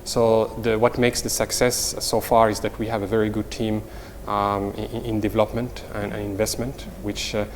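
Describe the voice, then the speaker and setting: A man's voice: lowish voice, level tone, normal speed, few pauses. Moroccan-accented man in an echoey hall. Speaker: hotel company executive, interview guest. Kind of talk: semi-formal interview answers. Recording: reverberant hall, faint background noise.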